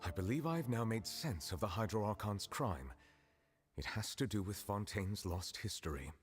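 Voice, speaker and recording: An actor playing a composed, scholarly gentleman in a video game, speaking formally, politely and in a measured way. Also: fairly low pitch, animated, music in the background, close to an everyday voice.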